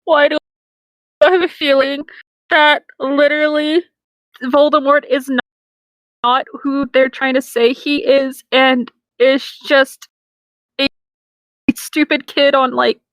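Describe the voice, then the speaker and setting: A woman's voice: high-pitched, little pitch movement, takes it slowly, long pauses, a bit bright, no echo, rough recording. A woman doing a comic read-aloud with casual, irreverent, incredulous commentary. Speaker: co-host and reader.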